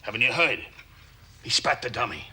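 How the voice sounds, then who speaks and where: A man's voice: mid-range voice, animated, normal speed, sharp tone. A man playing a world-weary, fussy baby who grumbles tersely like a prison inmate in a comedy sketch. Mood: conspiratorial. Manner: terse, grumbling convict talk.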